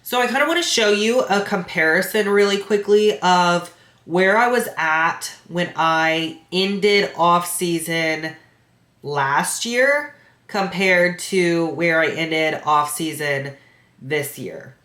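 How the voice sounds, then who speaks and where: A woman's voice: on the low side, takes it slowly, a bit bright. A congested woman, a bikini competitor and coach, chatting casually to her camera with lots of filler words. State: stuffy, congested nose. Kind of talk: casual vlog recap to camera. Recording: echoey room.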